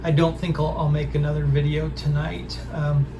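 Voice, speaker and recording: A hoarse man with a raspy voice talks in a halting, hesitant way while showing off records he has collected. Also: a little high, flat delivery, lo-fi sound, noisy background. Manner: halting, hesitant, full of pauses. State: hoarse from a throat injury. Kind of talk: casual record haul show-and-tell.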